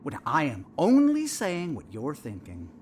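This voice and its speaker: A man's voice: a little high, huge pitch swings, a little slow, darkish tone, a little hiss or hum. A man playing a smug, all-knowing therapist in an audio drama, asking leading questions in an insinuating, theatrical manner. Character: probing, needling male therapist, smug and eerily all-knowing. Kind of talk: audio fiction dialogue.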